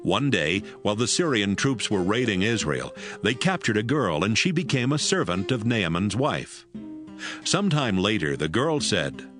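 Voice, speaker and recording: Male Bible narrator, lowish pitch, expressive scripted storytelling, light music bed. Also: normal speed.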